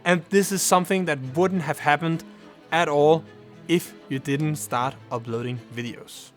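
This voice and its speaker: A man's voice: a little high, steady tone, a little slow, faint music behind. A young man from Denmark, a native Danish speaker, giving earnest scripted advice to camera about starting a channel. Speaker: YouTuber. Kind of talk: scripted vlog talk to camera.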